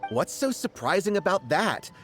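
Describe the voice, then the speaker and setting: A man's voice: high voice, sing-song, normal speed, a bit bright, soft background music. An actor playing an earnest, jumpy, struggling young male writer in an English video game dub, hesitant and pleading. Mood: earnest, insistent.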